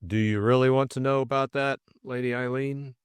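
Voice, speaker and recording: A man voicing a stolid middle-aged police superintendent, cautious, measured and plain-spoken, in an audiobook story. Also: mid-range voice, steady tone, smooth, no room sound, close to an everyday voice.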